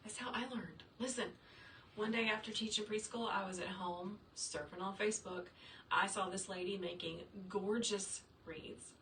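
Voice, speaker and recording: A woman's voice: medium pitch, fairly even intonation, normal speed, fairly bright tone. Chatty woman teaching crafts, roomy sound. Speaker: wreath maker teaching a craft. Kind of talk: casual livestream tutorial reading viewer comments. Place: her home craft workshop. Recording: roomy, distant sound with faint room noise.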